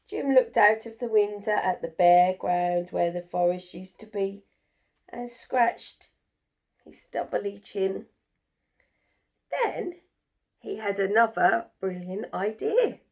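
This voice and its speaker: Slow-paced woman reading a children's story. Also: mid-range voice, gravelly, a little mellow.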